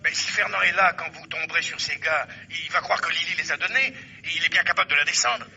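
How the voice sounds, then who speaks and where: An actor playing an earnest undercover police inspector, hesitant yet insistent, in a radio mystery play. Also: male voice, fairly high pitch, fast, creaky, bright, forceful tone, dull audio, a little hiss or hum, exaggerated voice. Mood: pleading, alarmed.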